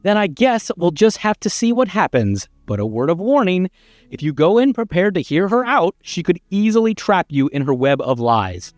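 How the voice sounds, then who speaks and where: A man acts out an insistent, wordy narrator from a storybook game. The delivery is theatrical and exasperated, with big swings in pitch, recorded close and dry over faint music. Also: sing-song, normal speed, no room sound. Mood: persuasive. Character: pushy, exasperated, wordy storybook narrator urging a hero onward.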